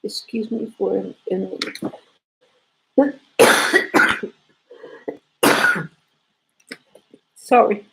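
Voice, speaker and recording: A woman with a sore throat and congestion, hosting a casual live knitting lesson and explaining stitches slowly, with frequent restarts. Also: medium pitch, bright, forceful tone, slight background noise, poor audio. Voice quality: gravelly, husky. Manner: slow, repetitive explaining with restarts.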